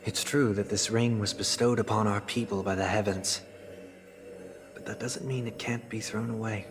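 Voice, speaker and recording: An actor playing a melancholic, refined young man in a game cutscene, speaking in measured, poetic, wistful phrases. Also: lowish voice, natural voice. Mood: weary, resolute. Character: melancholic young male fallen idealist, refined and soft-spoken. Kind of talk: dramatic video game cutscene acting. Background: music.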